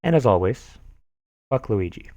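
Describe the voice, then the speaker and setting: A man hosting a stream, reading forum posts aloud with mock drama and lots of swearing, swinging from loud to soft. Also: on the low side, very animated, normal speed, no echo, highs cut off. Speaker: stream host.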